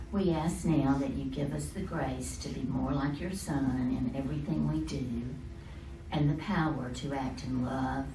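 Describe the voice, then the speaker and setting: A woman leading an opening prayer, measured and reverent. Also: rumbling low, mellow, slight background noise. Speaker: prayer leader. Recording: echoey room from far off.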